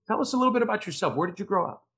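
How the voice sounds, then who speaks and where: Male podcast host, quick talker, informal and gushing, loose conversational interview style. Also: high-pitched, big pitch swings, vocal fry, fairly bright tone. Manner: effusive, informal, wordy. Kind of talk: casual interview chat.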